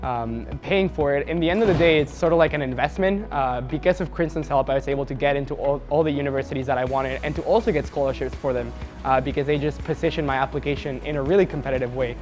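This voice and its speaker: A young man from Mexico City, a university student giving a relaxed testimonial, talking casually with a lot of "uh" fillers. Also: medium pitch, quick pace, soft background music. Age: young adult. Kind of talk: testimonial to camera.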